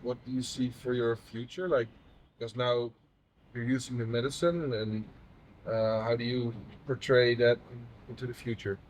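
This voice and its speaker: A man's voice: medium pitch, steady tone, a little hiss or hum, poor audio. Hesitant man in his thirties. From Netherlands. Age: 30s. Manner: halting, many fillers and restarts. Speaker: patient advocate interviewer. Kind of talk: personal conversation.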